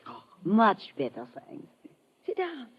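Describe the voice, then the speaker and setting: An actress playing an affectionate, coaxing femme fatale, intimate and theatrical, in an old-time radio drama. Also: female voice, on the high side, wildly swooping pitch, slow, slightly rough, exaggerated voice. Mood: welcoming, tender. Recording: dull vintage radio recording.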